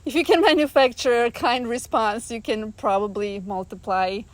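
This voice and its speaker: A woman's voice: on the high side, moderate pace, no echo. A woman from Romania speaking earnestly and reflectively as an interview guest, often with a laugh in her words. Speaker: guest, artist. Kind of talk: reflective personal conversation.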